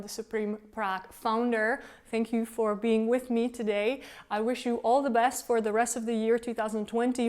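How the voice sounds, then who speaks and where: A woman interviewing a guest, putting questions in a friendly, formal way. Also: a little high.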